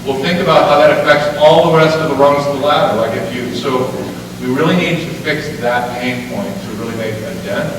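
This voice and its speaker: A man gives an informal talk to a gathered audience, thinking aloud with fillers and turning questions back to the room. He sounds far from the microphone in a large echoing hall with a long reverb tail. Steady background noise sits under his voice. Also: mid-range voice, fairly quick. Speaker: panel speaker. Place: event hall.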